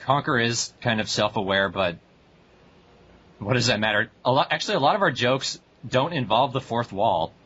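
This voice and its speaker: A man's voice: medium pitch, expressive, moderate pace, fairly bright tone, slightly rough. A young man co-hosting a casual podcast, laid-back and dry, often laughing along. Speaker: podcast co-host. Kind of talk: casual chat. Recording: dull lo-fi recording, faint noise.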